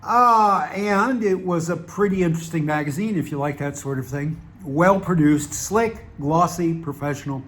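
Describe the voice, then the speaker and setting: A man's voice: a little high, moderate pace, few pauses, fairly bright tone. A man with a Southern American accent, talking to his channel in a wry, digressive way, full of fillers and restarts. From Southern United States. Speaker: channel host. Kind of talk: rambling casual monologue.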